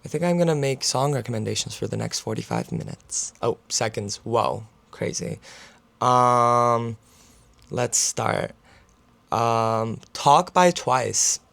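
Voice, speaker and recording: Raspy, gravelly young man rambling. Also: medium pitch, takes it slowly, fairly bright tone. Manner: filler-heavy, talks with his hands. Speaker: student vlogger. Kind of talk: casual rambling vlog chat.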